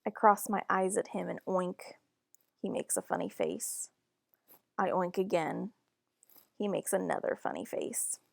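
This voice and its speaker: A woman reading a children's picture book aloud in a deliberate, expressive storytelling manner. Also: fairly low pitch, creaky. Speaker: storybook reader.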